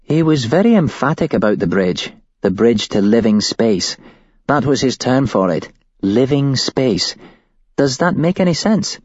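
Shaken young ex-hostage woman, read by a man; husky, raised voice, dry dull recording. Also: mid-range voice, big pitch swings, moderate pace, a little mellow, no echo, voice pushed up. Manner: shaky, tumbling recollection.

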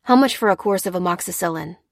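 A woman's voice: lowish voice, fast talker, creaky, no room sound, clean studio sound. A woman voicing a polite, hesitant, persistent male customer in an audiobook. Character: placating, persistent man haggling as a customer.

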